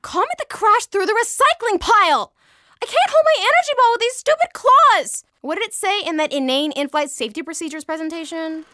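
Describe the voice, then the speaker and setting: A woman's voice: very high voice, expressive, brisk, pushed and bright, no room sound, studio quality, full character voice. A woman playing a vain, flippant young female shapeshifting alien in audio theatre, sassy and petulant in manner. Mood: exasperated.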